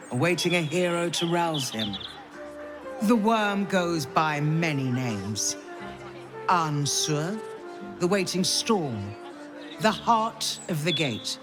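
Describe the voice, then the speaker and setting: A woman playing a stern, loyal noblewoman counsellor in a fantasy video game, speaking in a solemn, formal, measured way. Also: deep voice. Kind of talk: dramatic video game cutscene acting. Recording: music behind the voice.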